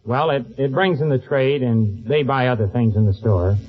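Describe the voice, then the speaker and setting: A man's voice: medium pitch, moderate pace, music in the background. A man answering a quiz host plainly as a contestant, on a 1940s radio recording. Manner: plain, matter-of-fact. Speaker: contestant, druggist. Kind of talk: answering a quiz host's questions. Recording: muffled 1940s radio, audience behind.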